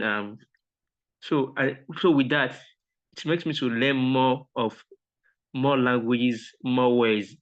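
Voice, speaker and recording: A man, a mentorship participant, sharing a reflection politely and hesitantly, with many restarts. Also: mid-range voice. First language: Yoruba. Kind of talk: sharing a reflection on a video call. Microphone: online call audio. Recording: dull lo-fi call audio.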